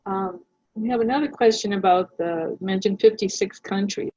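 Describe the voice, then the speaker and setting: A woman moderating a question session, politely putting questions to a guest in an unhurried conversational way. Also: medium pitch, mellow, no echo. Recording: dull, lo-fi livestream audio.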